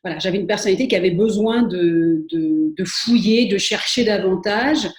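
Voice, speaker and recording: A woman's voice: mid-range voice, moderate pace. A middle-aged woman answering interview questions about her career, speaking with frequent hesitations, fillers and restarts. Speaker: interview guest, spa and wellness expert. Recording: echoey room.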